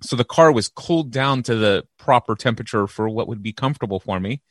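Loud, gear-loving man on a close mic. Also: medium pitch, a little fast, dry recording. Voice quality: loud, powerful voice. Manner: enthusiastic, explains gear in detail. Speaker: podcast guest. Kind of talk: casual podcast conversation. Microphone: close dynamic microphone.

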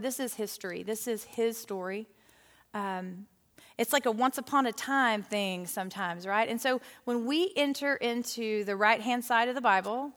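Chatty woman teaching Bible study. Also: a little high. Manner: animated, chatty, with frequent fillers. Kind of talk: lively teaching talk to a women's Bible study group. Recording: hall with long reverb.

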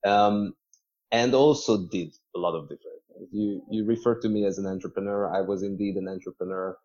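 Male podcast guest, lowish pitch, measured conversational storyteller with hesitations, muffled lo-fi audio. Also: fairly even intonation, a little mellow. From Hungary. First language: Hungarian.

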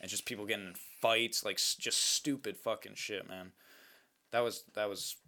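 A man's voice: fairly low pitch, fairly even intonation, moderate pace, bright, forceful tone. A man chatting casually and profanely as a podcast co-host, full of slang and banter.

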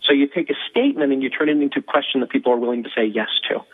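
A man talks quickly and fluently, with a husky edge to his voice. He explains his points like a teacher and often drops an "um" between them. The sound comes thin and tinny over a phone line. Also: mid-range voice. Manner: fast, fluent teaching with frequent "um". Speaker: guest expert on persuasion.

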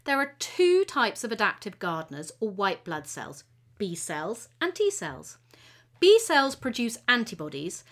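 A woman's voice: mid-range voice, huge pitch swings, measured pace, fairly bright tone. A woman playing a friendly, proud T cell gardener who explains things patiently in a children's educational audio story. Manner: explanatory, teacherly, encouraging.

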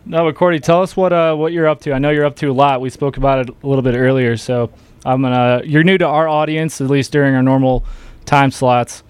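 Co-host: American man, crisp resonant voice, casual interviewer, dry studio-like sound without echo. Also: mid-range voice, fairly even intonation, brisk. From United States. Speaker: show co-host.

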